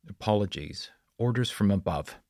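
A man's voice: fairly low pitch, lively intonation, normal speed, a little mellow, no room sound, studio quality, close to an everyday voice. A man playing a curt, sarcastic, menacing boss of mercenaries in an audiobook. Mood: curt, impatient.

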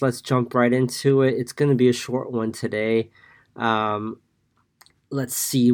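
A man hosting a market podcast, congested from a cold, giving a casual daily recap with many fillers while reading numbers from his notes. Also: mid-range voice. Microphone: podcast mic.

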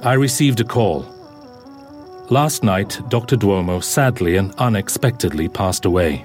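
An actor playing a formal, solemn head of research in an audio drama, speaking in measured phrases. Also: male voice, lowish voice, animated, darkish tone, faint music behind.

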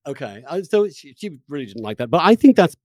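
A man interviewing in a casual, conversational way, tentative and halting, often repeating words as he frames a point. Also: fairly high pitch, sing-song, a little mellow, no echo, studio quality. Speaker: interviewer, podcast host.

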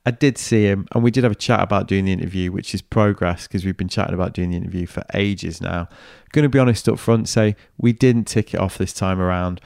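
A man's voice: low-pitched, fairly even intonation, fast, gravelly, hardly any pauses, a little mellow, no echo, studio quality. A man hosting a podcast, chatty and upbeat, trading banter and wrapping up the episode on his own. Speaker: podcast host. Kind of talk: casual chat and solo wrap-up.

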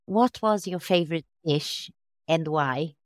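A woman hosting a podcast interview, asking slow, thoughtful questions about writing children's books. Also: sits low, no room sound, clean studio sound. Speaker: podcast host, children's author.

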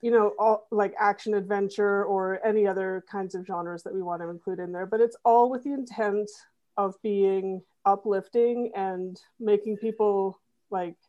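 A woman's voice: mid-range voice, steady tone, moderate pace, highs cut off. A woman who makes a comedy fiction podcast, explaining her genre earnestly as an interview guest, with plenty of fillers and restarts. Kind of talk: casual interview.

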